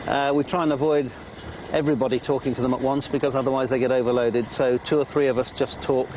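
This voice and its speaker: A man's voice: mid-range voice, fairly even intonation, quick pace, noisy background. A man working as a balloon pilot, giving radio calls and informal explanations.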